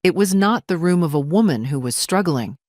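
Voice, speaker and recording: A woman's voice: on the low side, expressive, a little fast, darkish tone, no room sound, studio quality. A woman narrating a scripted audio story in the first person.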